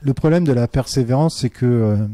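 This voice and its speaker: A man's voice: medium pitch, fairly quick, mellow, no echo. A man, a teacher, talking earnestly in a casual interview, with many fillers and restarts. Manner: earnest and reflective.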